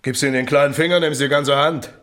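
An actor playing a blustering small-town building contractor in a radio drama, curt, gruff and grumbling in manner. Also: male voice, on the high side, steady tone, fast, a bit bright, lightly acted. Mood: grumbling, irritated. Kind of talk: naturalistic radio drama acting.

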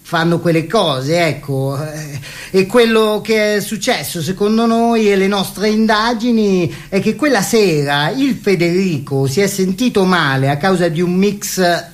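A man's voice: sits high, a little slow. Casting: a man as a smug male police chief for radio satire, hesitant, full of tics, falsely kindly. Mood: evasive, dismissive. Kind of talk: satirical radio theatre interview.

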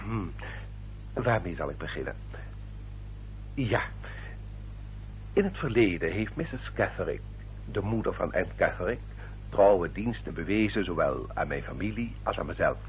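An actor playing a courteous, self-justifying English baronet in a radio drama, with a formal, polished and elaborately polite manner. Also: male voice, sits low, animated, unhurried, pauses often. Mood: suave, explaining. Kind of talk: old radio drama acting. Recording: dull old radio recording, faint hum.

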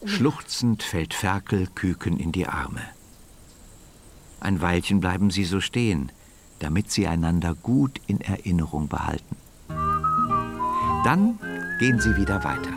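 Male narrator, children's radio story, scripted, deep voice, highly varied intonation, loud music behind. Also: vocal fry.

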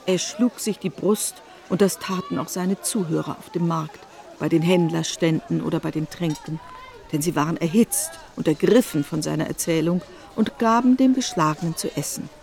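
A woman narrating a literary radio drama in a measured storytelling manner. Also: lowish voice, gravelly, darkish tone.

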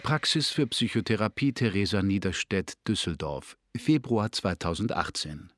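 An actor playing a detached narrator, announcing scenes in terse, factual, scripted phrases. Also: male voice, fairly low pitch, animated, normal speed, heavy background noise, natural voice. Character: terse, detached male narrator giving places and dates.

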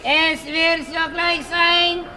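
An actress playing a showy fairground barker in a radio play, declaiming in broken, speech. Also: female voice, very high-pitched, fairly even intonation, extremely slow, full character voice. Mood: grandiose, showy. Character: showy fairground barker presenting trained animals. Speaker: actor. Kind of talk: theatrical radio drama, fairground barker's patter. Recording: loud music and crowd behind.

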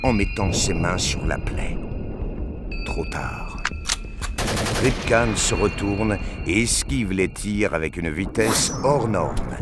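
A man narrates a sci-fi action drama in a low-pitched voice. He reads scripted prose with dramatic delivery, and music plays clearly underneath. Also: animated, slow.

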